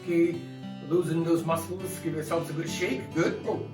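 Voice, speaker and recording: A man telling a story to an audience in a playful, lively manner, leading them in movement games before the tale. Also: fairly high pitch, moderate pace. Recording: echoey room, distant mic, guitar music.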